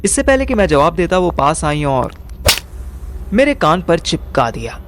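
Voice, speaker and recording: A man playing a wry, quick-witted young man with an Indian accent, narrating and acting in a first-person audio drama. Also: on the high side, noisy background. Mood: storytelling. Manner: animated, colloquial, mixes in English.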